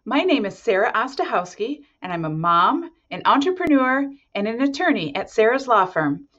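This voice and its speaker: Animated woman reading to children. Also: fairly high pitch, highs cut off. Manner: expressive, deliberate. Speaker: volunteer storybook reader. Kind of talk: children's picture-book read-aloud. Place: small office indoors.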